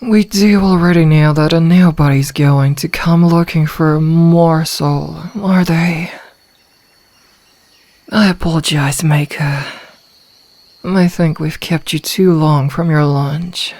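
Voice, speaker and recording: A woman's voice: sits low, fairly even intonation, slow pace, darkish tone. A woman voicing a tender, protective snake woman in a fantasy ASMR roleplay, coaxing and soothing, with a sly streak toward prey. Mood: teasing, sly. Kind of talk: ASMR roleplay, scripted fantasy audio drama. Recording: long reverberant hall-like echo.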